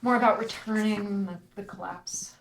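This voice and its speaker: An actress reading an earnest, playfully wistful daughter who runs a theater, in a screenplay table read. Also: female voice, medium pitch, steady tone, moderate pace, close to an everyday voice. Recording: echoey room with clear background noise.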